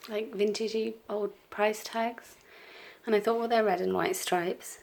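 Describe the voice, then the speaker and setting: A middle-aged woman with a croaky cold voice, chatting casually and apologetically at her craft desk, with lots of ums. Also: mid-range voice, fairly even intonation, unhurried, a little mellow. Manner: rambling, apologetic, frequent ums. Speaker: planner vlogger. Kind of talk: casual chat over crafting. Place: home craft desk, indoors.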